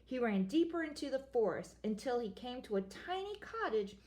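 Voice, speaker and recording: A woman reads a picture book aloud with playful, expressive delivery. Her voice sits a little high and moves around a lot. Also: moderate pace. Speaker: storyteller.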